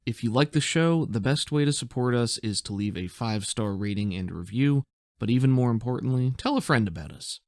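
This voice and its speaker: A man's voice: medium pitch, expressive, fairly quick, a little mellow, no echo, studio quality. A man narrating a tabletop game as game master and podcast host, in an informal, descriptive storytelling way.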